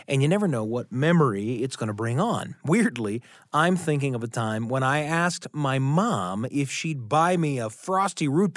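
A man hosting a radio storytelling show, narrating in a reflective, conversational way with deliberate phrasing. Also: mid-range voice, no room sound, studio quality.

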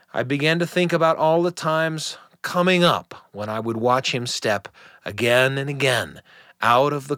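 A middle-aged man hosting a radio show, telling a personal family story in a gentle, reflective, earnest way. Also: mid-range voice, no room sound, clean studio sound.

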